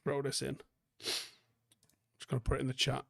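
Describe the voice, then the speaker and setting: Male livestream host, softened Mancunian accent, creaky mid-pitched voice, close dry sound, blunt and sweary off-the-cuff chat. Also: monotone, measured pace, no room sound. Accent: northern English, softened Manchester. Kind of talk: casual chat, answering viewer comments.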